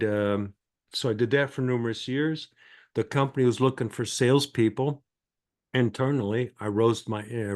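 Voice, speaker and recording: An older man, answering as a guest in an interview in a halting, conversational way. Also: on the low side. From born in France, grew up in Michigan, United States. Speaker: podcast guest, sales and marketing consultant.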